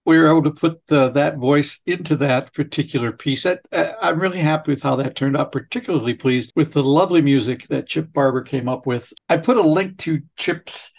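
Guy podcast host, kinda high voice w/ gravelly fry, chatting and rambling along. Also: fairly quick, few pauses. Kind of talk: casual chat and interview.

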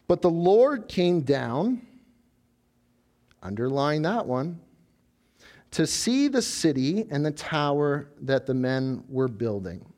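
Lively, animated male pastor preaching. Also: sits high. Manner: animated preaching, voicing the proud tower builders. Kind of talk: sermon.

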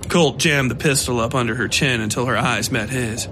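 A man giving scripted narration of a dark horror story. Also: mid-range voice, normal speed, background noise.

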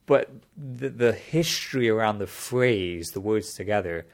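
A man's voice: fairly low pitch, a little slow, vocal fry. A man in casual conversation, explaining a topic at length in a thoughtful way, often restarting his sentences.

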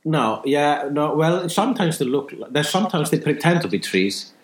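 A man, joking deadpan in a casual chat as co-host. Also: fairly high pitch, moderate pace. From Iceland. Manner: deadpan joking, mock-serious. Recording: roomy echo.

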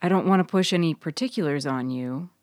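Lady doing a smirky persuasive woman giving advice in an audiobook, low measured voice, coaxing. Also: darkish tone, dry recording, clean studio sound, lightly acted.

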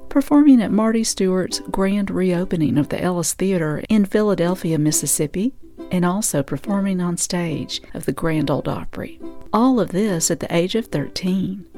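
A woman's voice: lowish voice, warm tone. A woman from the United States reading scripted narration as the host of a radio show and podcast.

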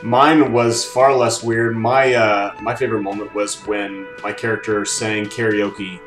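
A man's voice: fairly low pitch, level tone, measured pace, a bit bright. A man co-hosting a podcast, telling stories about video games in casual conversation. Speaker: podcast co-host. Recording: echoey room, faint music behind.

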